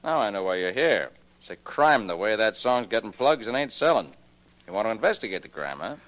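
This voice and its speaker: An actor playing a brusque, grumbling music publisher with blunt, slangy, wry talk in a 1940s radio drama. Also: male voice, on the low side, big pitch swings, brisk, fairly bright tone, rough recording. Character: brusque, grumbling male music publisher, streetwise showbiz hustler.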